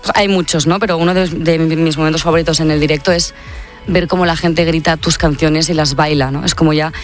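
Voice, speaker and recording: A woman's voice: low voice, steady tone, brisk, soft background music. Upbeat young woman from Catalonia. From Catalonia, Spain. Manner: chatty, upbeat, many fillers like "no?". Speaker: singer, interview guest. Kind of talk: casual radio interview.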